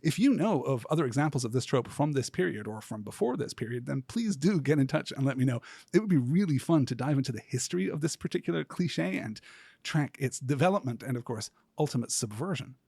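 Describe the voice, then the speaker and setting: A man hosting a podcast, delivering scripted literary commentary in a measured, articulate way with deliberate, emphatic phrasing. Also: medium pitch, animated, creaky, warm tone, slightly rough, no echo, clean studio sound. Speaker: podcast host.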